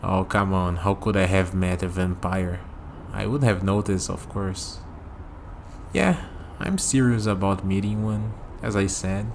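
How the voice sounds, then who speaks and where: A man playing a curious, skeptical young human in an ASMR vampire roleplay, talking hesitantly to the listener and pausing for replies, with steady noise behind him. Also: deep voice. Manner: hesitant, conversational, leaves pauses for unheard replies.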